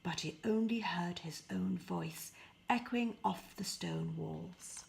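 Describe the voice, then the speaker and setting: Slow-paced woman narrating a fairy tale. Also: on the low side, creaky, rough recording. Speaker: storybook reader.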